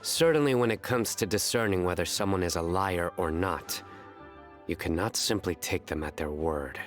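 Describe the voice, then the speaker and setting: A man voicing a low, deadpan stern young man. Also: normal speed, close to an everyday voice. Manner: deadpan and terse. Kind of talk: video game voice acting. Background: game music.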